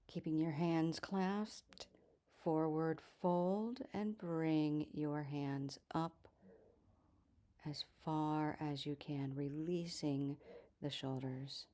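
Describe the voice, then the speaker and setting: Female yoga teacher, low pitch, mellow tone, calm guided instruction, soft trailing endings. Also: extremely slow.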